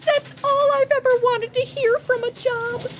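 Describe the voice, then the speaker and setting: A woman's voice: very high voice, steady tone, measured pace, music in the background. An actress playing a flippant, self-absorbed modern young woman in a comedy audio drama, breezy, sassy and slangy. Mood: playful. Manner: sassy, breezy, slangy, valley-girl phrasing.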